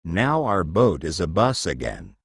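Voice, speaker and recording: Earnest, jittery boy reporter for a storybook played by a man, low register, animated exclamations. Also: a little slow, vocal fry, slightly rough, no room sound, clean studio sound, exaggerated voice. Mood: amused. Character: nervous, excitable boy reporter on his first trip.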